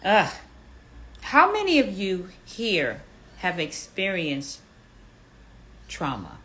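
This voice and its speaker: A woman in her fifties with a low, bright voice hosts a live room. She talks in animated swoops and repeats her questions with emphasis. She reads out what listeners write and asks them to reply. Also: slow pace. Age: 50s. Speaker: fitness coach hosting a live audio room. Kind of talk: live audience chat.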